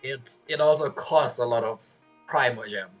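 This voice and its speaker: A man's voice: mid-range voice, moderate pace, soft background music, rough recording. A young man doing casual, joking playthrough commentary, reacting aloud to a video game. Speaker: gaming streamer.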